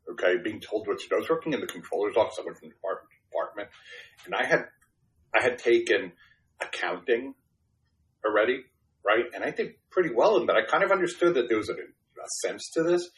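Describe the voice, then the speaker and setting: A man's voice: lowish voice, fairly bright tone, low-quality recording. A man in his fifties from Brooklyn, New York, whose first language was Spanish, telling his life story in a casual, self-deprecating interview. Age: 50s. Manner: self-deprecating, chatty, restarts and fillers. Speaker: podcast guest.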